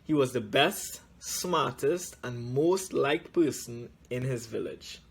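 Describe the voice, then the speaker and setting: A man reading a children's story aloud in a deliberate, measured way, with frequent pauses. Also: medium pitch, lively intonation, creaky, breathy edge. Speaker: guest story reader.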